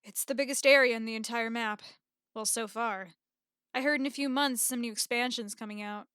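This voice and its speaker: A woman's voice: fairly high pitch, fairly even intonation, a little fast, fairly bright tone, smooth, dry recording, clean studio sound, lightly acted. A woman playing a businesslike, confident fantasy swordswoman and blacksmith, matter-of-fact, in an audiobook drama. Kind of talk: audiobook drama character acting.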